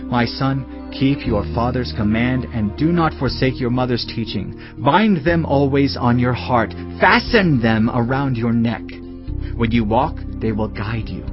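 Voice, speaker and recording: A man's voice: medium pitch, unhurried. Male narrator for dramatised scripture: solemn, fatherly, admonishing, mid-range voice, slightly dull recording over music. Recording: slightly muffled, music bed behind. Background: background music.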